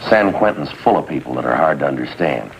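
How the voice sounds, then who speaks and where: World-weary American cop, bass-low and dry. Terse deadpan lines, vintage TV crime drama. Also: male voice, brisk, lo-fi sound, faint music behind. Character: dry, world-weary male police detective. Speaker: police detective. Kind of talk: 1950s police drama acting. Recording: noisy vintage TV soundtrack.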